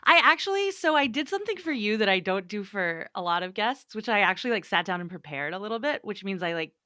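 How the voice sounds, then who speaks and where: A woman hosts a podcast interview with a bright, forceful voice, teasing her guest and tossing in lots of "like." The sound is dry and close, with no room echo. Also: medium pitch, animated, quick pace. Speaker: podcast host. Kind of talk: casual interview chat.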